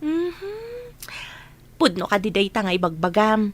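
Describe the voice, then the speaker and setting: A woman's voice: medium pitch, big pitch swings, a little slow. A woman acting a plain-spoken, affectionate village woman in a radio drama. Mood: teasing.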